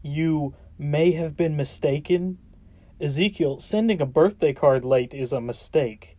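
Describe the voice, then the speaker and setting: A reluctant chosen one in an audio drama, sardonic and exasperated. The voice is fairly high for a man, warm and relaxed in tone, recorded dry and close. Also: a little slow, no echo. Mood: sarcastic, exasperated. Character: sarcastic, skeptical reluctant chosen vessel, a man.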